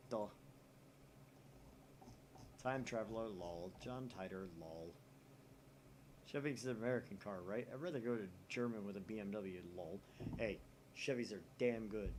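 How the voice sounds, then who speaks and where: A man's voice: on the low side, moderate pace, long pauses, noisy background. A man hosting a let's play, reading a visual novel's chat posts aloud and swearing casually.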